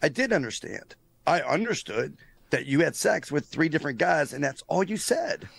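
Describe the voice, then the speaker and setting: A man playing an aggravated, jealous young store clerk with a gravelly, husky voice in a comic table read. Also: a little high, very animated, normal speed, a bit bright, exaggerated voice. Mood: aggrieved. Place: indoor room on a video call. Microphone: close microphone on a video call.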